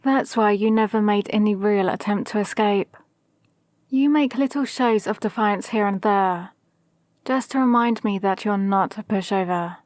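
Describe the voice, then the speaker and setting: A woman playing a calm, possibly mad villain sorceress in an ASMR roleplay, speaking to an apprentice in a measured, condescending, gently dominant way. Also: medium pitch, no room sound, clean studio sound, close to an everyday voice. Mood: knowing, gently dominant. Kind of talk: ASMR roleplay with whispered asides. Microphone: close, dry microphone.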